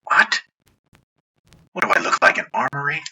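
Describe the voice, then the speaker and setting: A man's voice: a little high, normal speed, husky, voice pushed up, heavily acted. A man voicing a wry, teasing military supplier talking by radio in an audiobook. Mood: wry, grudging. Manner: dry, teasing, clipped. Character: wry, sardonic male military quartermaster friend on radio. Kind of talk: dramatic audiobook dialogue. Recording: loud music behind the voice.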